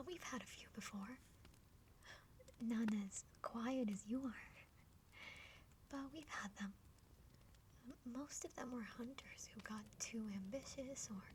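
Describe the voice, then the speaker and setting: Woman acting a high, whispering young half-dragon sister. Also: natural voice. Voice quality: breathy whispering. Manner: chatty, playful, hesitant stammers. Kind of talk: whispered ASMR roleplay. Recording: faint background noise.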